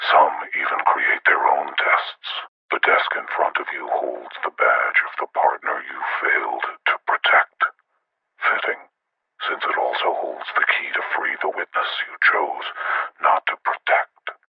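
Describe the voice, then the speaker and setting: An actor playing a sinister, calm, moralizing killer in a video game, speaking slowly and deliberately with gravelly menace. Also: male voice, highs cut off, exaggerated voice. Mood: cold menace. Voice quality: gravelly, very deep. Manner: slow, deliberate, menacing, measured. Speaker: villain taunting his captives with instructions. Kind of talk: scripted video game villain monologue.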